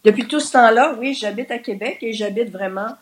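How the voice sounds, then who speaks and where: A woman's voice: a little high, brisk. Older Québécois woman, cheerful guest. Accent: Québécois French. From New Brunswick, Canada. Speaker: interview guest. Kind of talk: casual remote interview.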